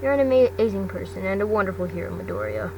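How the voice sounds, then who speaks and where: A woman's voice: mid-range voice, very animated, very fast, natural voice. Woman voicing a caring, blunt male teacher, noisy recording. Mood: warm. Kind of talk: fan fiction audio reading. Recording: distant mic, clearly noisy background.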